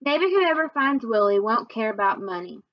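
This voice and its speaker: A sad, folksy Southern woman from a children's book, as a woman reader puts her on. She sounds worried and wistful, with a highish voice. The sound is dry and a bit dull. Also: sing-song, moderate pace, dry recording. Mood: resigned.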